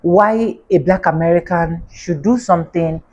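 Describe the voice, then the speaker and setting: A woman with an African accent and a somewhat low voice hosts a commentary show. She addresses her viewers directly in casual, conversational speech. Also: moderate pace, a little mellow, highs cut off.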